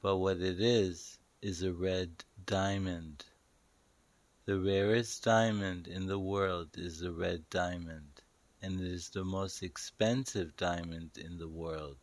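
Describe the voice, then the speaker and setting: A man narrating a guided meditation as a slow story, speaking deliberately in short, paused phrases and instructing the listener. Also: low-pitched, fairly even intonation, no room sound.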